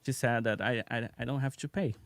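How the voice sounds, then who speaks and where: A man in his thirties with a Brazilian accent, speaking English as a second language as a guest on a live stream, relaxed and telling stories with easy laughter. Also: medium pitch, vocal fry, slight background noise. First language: Portuguese. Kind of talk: casual live-stream chat. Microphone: podcast microphone on a video call.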